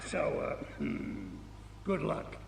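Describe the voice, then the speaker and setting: An actor playing a gruff, shifty, corrupt older army veteran in a video game, streetwise and conspiratorial. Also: male voice, low voice, wildly swooping pitch, very slow, exaggerated voice. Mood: urgent, confiding. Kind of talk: dramatic video game cutscene acting. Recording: roomy, distant, noisy echoing hall.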